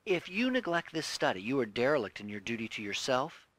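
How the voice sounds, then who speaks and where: A man reading a self-help audiobook as scripted narration, with deliberate, emphatic, declarative phrasing. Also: mid-range voice, sing-song. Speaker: audiobook reader.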